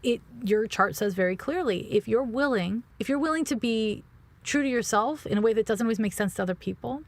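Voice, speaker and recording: A woman giving a conversational astrology reading as a podcast guest, speaking frankly and informally with casual swearing. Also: medium pitch. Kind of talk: conversational birth chart reading.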